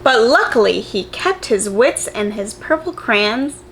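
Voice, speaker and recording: A woman reading a picture book aloud to young children, expressive and encouraging, putting simple questions to them like a preschool teacher. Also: fairly high pitch, a little slow, a little hiss or hum.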